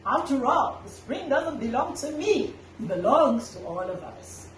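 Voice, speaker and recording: A woman voicing a calm, generous male rabbit in a children's story, speaking in a gentle, courteous way. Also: high-pitched, a little slow. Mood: kind reassurance. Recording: echoey, distant mic, background noise.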